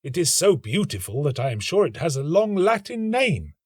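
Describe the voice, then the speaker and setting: Man as excitable young student, raised pitch, animated. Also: normal speed, slightly rough, no echo, clean studio sound. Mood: delighted. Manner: exclamatory, earnest. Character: lovestruck, excitable young male student, easily soured.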